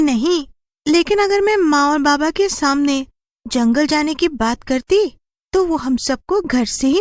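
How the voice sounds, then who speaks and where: An adventurous young village woman played by a woman in a story, bubbly and teasing. Her voice is very high and takes its time, recorded dry. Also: no room sound.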